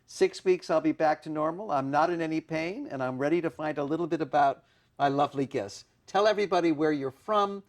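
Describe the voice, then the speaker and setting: A man's voice: on the high side, fairly quick. An older man hosting a studio talk show, speaking in a chatty way and asking strings of questions. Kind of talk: TV studio interview. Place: TV studio set.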